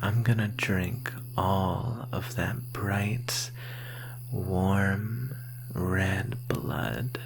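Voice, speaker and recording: Low, very slow, creaky vampire performer acting. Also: noisy background, full character voice. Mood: seductive menace. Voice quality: breathy, often dropping to whisper, creaky. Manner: slow, teasing, predatory drawl. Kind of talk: ASMR roleplay, slow close monologue.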